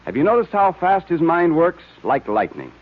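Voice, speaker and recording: A man works as announcer on an old-time radio serial. His delivery is emphatic and salesmanlike, swinging widely in pitch with some huskiness. The recording is tinny and narrow. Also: huge pitch swings, moderate pace. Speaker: announcer and narrator. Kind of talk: 1940s radio sponsor pitch and serial narration.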